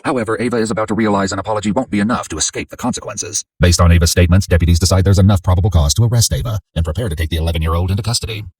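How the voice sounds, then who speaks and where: Very low, rapid male narrator, noisy. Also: recorded from a distance. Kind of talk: scripted true-crime documentary narration.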